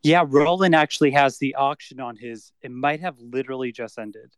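A man's voice: medium pitch, normal speed, smooth, no room sound. A man hosting a podcast, opening with an upbeat scripted intro and then chatting casually. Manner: upbeat announcer delivery in the intro, relaxed after. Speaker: host.

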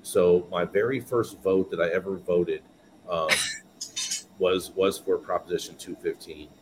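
A man's voice: low-pitched, steady tone, measured pace, background noise. A man from California, a guest on a podcast interview, telling his story earnestly and repeating words as he goes. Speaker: guest, business owner.

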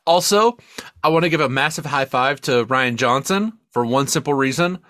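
A man's voice: medium pitch, animated, normal speed, a bit bright, dry recording, clean studio sound. A man co-hosting a casual, opinionated film discussion, speaking emphatically and swearing freely. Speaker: podcast co-host reviewing films.

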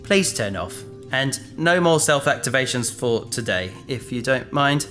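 Weak, slow, wry wounded adventurer. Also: female voice, a bass voice, lively intonation, fairly bright tone, soft background music, full character voice. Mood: wry, strained. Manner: faint, halting, dryly understated. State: weak, fading, drowsy. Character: wry, fatally wounded female space adventurer. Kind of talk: sci-fi audio drama acting.

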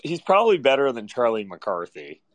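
A slightly hoarse man chatting casually as a caller, in a hesitant, halting way. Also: medium pitch, big pitch swings, no echo. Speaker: caller to a podcast. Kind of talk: casual chat.

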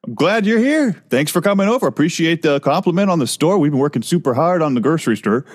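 A man's voice: fairly high pitch, animated, fast, pitched above the performer's own voice. A man playing an over-eager grocery clerk who is proud of his trendy store, welcoming and a little flustered in an improv scene. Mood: chipper. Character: chipper male grocery clerk, overly trendy.

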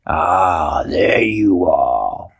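A man's voice: sits low, very animated, very slow, vocal fry, slightly rough, dry recording, clean studio sound, voice pushed down, heavily acted. A man growling as a huge stinky troll. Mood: menacing. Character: huge ugly stinky male troll, menacing.

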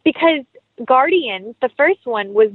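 A woman's voice: sits high, lively intonation, measured pace, breathy edge, no room sound. A woman speaking as an interview guest, chatting casually about her creative work in an enthusiastic, effusive way. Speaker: interview guest, actress and writer. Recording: thin phone-like sound.

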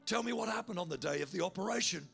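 Gravelly big-voiced preacher man. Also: high voice, quick pace, soft background music. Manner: animated storyteller, building to loud fervent altar call. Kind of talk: sermon with storytelling.

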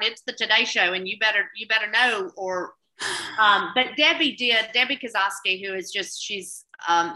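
Chatty Southern woman with a bright voice. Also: lowish voice, rough recording. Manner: chatty, rambling with many restarts and fillers. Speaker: cookbook author and TV food contributor. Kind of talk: casual interview chat.

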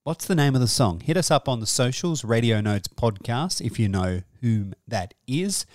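Male music podcast presenter. Middle-register voice, earnest and measured, dry studio sound. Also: medium pitch, animated, no echo. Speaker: podcast host. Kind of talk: scripted music-news presenting.

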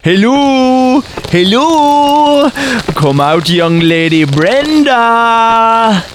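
A man playing a lecherous, excitable college teacher in a comic audio drama, with an overwrought, theatrical delivery. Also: very high-pitched, very slow, noisy background, exaggerated voice. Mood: lecherous, gleeful. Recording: loud music under the voice.

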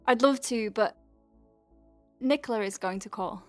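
A woman's voice: on the high side, slow, no room sound, soft background music. A woman playing a friendly, wry doctor at a space clinic in an audio drama, speaking in a hesitant, conversational way. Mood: awkward, evasive. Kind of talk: naturalistic audio drama acting.

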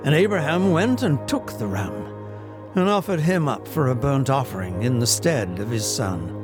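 A man in his seventies with an English accent acting a solemn, stately narrator of Old Testament stories in a dramatic reading. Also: medium pitch. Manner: grave, theatrical, drawn-out.

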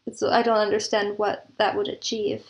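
A woman's voice: medium pitch, level tone, moderate pace. A woman speaking as a guest in a conversational interview, explaining her views in a reflective way. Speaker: podcast guest, composer and audio producer.

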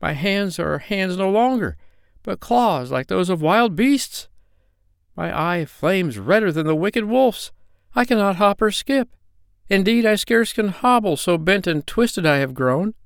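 A man voicing a tiny male magic sprite, crying out in dismay in a high voice. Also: expressive, measured pace, vocal fry, clean tone, dry recording, clean studio sound, heavily acted.

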